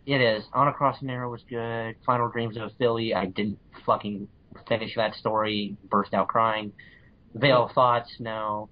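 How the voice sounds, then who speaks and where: A man chatting casually as a podcast guest, giving blunt opinions in a halting, repetitive way. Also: lowish voice, fairly even intonation. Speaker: podcast guest, fan fiction reviewer. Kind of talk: casual chat. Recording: dull lo-fi voice recording.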